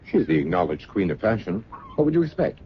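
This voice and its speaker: Suave, candid escort: very low, lively voice, wry and breezy; vintage radio drama. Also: male voice, quick pace. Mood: amused, reassuring. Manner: smooth-talking, wry, breezy. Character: suave, candid actor and kept companion. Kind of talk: old-time radio drama acting. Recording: muffled, noisy vintage radio recording.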